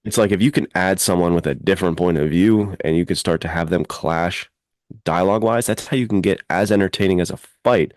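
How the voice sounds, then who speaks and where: A man's voice: sits low, a little fast, a little mellow, dry recording. A man co-hosting a podcast in casual chat, with an informal, effusive, enthusiastic way of talking. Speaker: podcast co-host and fiction writer.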